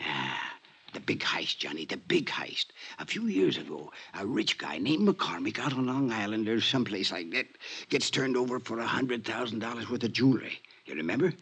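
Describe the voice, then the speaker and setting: Sick old jailbird in an old radio play, gravelly n breathy, slangy, pleading, pitch all over. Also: male voice, lively intonation, normal speed, a bit bright, exaggerated voice. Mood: conspiratorial, excited. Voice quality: raspy, breathy, gasping. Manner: slangy, conspiratorial street talk. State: weak, coughing, short of breath. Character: ailing old male convict, eager, scheming, pleading. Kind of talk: 1950s radio drama acting.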